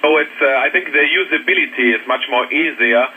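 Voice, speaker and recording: A man with a German accent talking haltingly in casual English as an amateur radio operator over a radio transmission. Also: mid-range voice, sharp tone, slightly rough. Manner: halting, searching for English words. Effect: shortwave radio transmission. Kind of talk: casual radio contact chat. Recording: narrow, noisy ham radio reception. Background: radio static.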